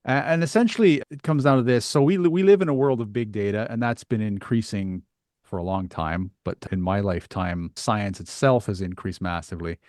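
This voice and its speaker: A middle-aged man speaks as a podcast host in a fairly low voice. He talks conversationally, feeling his way through questions with halting restarts. The sound is dry, with very little room. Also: darkish tone, no echo. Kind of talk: conversational interview.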